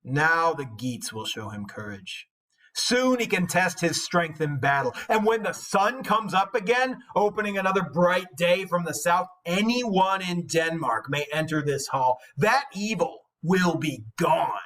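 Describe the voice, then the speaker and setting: Boastful warrior hero, read by a man: higher male voice, scornful, declamatory, epic-poem delivery. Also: lively intonation, a bit bright, rough recording. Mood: scornful, defiant. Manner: declamatory, drawn-out emphasis. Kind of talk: dramatic reading of epic poetry.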